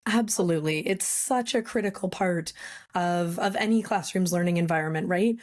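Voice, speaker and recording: Friendly woman guest with a slightly raspy voice. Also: fairly low pitch, normal speed, darkish tone. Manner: friendly, chatty, frequent fillers. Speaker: podcast guest, education technology representative. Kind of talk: podcast interview.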